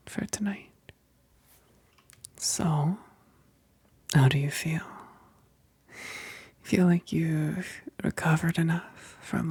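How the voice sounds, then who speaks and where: A woman acts out a seductive female vampire in an ASMR roleplay, hungry yet holding back. Her whispered voice is lowish and gravelly, full of breath. She lingers teasingly over her words, close to the mic in a dry space. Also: dry recording, full character voice. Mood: teasing, intimate. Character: hungry, seductive female vampire, a possessive but restrained best friend. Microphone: close, dry microphone.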